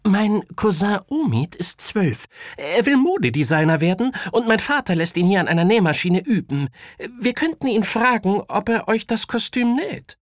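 Narrator putting on an eager, high-voiced schoolboy. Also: big pitch swings, normal speed, few pauses, dry recording, clean studio sound, lightly acted. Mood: eager, helpful. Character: Kaya, friendly eager schoolboy with bright excited voice. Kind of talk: children's audiobook character voice.

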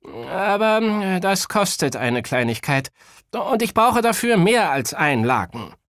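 Narrator as businesslike boy tailor, raised pitch. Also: sing-song, slow, fairly bright tone, no echo, clean studio sound, heavily acted. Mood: sceptical. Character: Omid, gruff businesslike twelve-year-old boy tailor. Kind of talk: children's audiobook character voice.

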